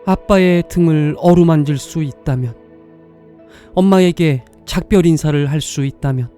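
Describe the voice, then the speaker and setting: A man's voice: on the high side, moderate pace, mellow, clean tone. A man reading scripted story narration as the narrator of a drama. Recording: music behind the voice.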